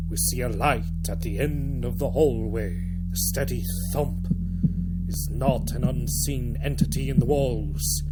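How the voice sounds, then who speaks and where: A man playing an ominous horror radio host, narrating in a theatrical, drawn-out, macabre old-time radio style. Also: medium pitch. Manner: dramatic, drawn-out, macabre delivery.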